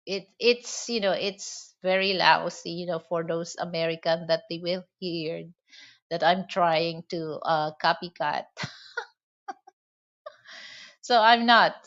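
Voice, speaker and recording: Filipino-accented woman vlogger, lowish voice, rambling casual talk with fillers, lo-fi sound. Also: fairly bright tone. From Philippines. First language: Hiligaynon. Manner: rambling, many "you know" fillers. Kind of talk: casual monologue.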